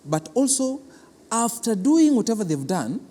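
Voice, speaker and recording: A man with a Kenyan accent explaining a programme in an interview as an official, deliberate and repeating words for emphasis. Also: high voice, big pitch swings, dark tone. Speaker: cultural centre official being interviewed. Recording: reverberant hall.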